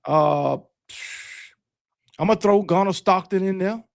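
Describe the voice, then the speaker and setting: A man's voice: high voice, takes it slowly, gravelly. A man from Alabama with a Southern US accent, hosting a casual sports talk show in a blunt, emphatic, argumentative manner, often repeating himself. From Alabama, United States. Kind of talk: casual live sports debate.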